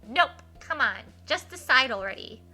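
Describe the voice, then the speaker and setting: A woman's voice: sits high, lively intonation, moderate pace, sharp tone, voice pushed up, heavily acted. A woman voicing a bossy, eager young girl in a story game, emphatic and insistent, with music behind.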